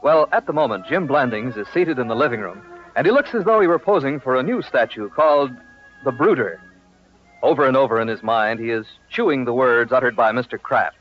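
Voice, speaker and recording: A man's voice: mid-range voice, animated, a little fast. A man narrating a vintage radio comedy as its announcer, in a scripted style. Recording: dull vintage radio recording. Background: prominent music.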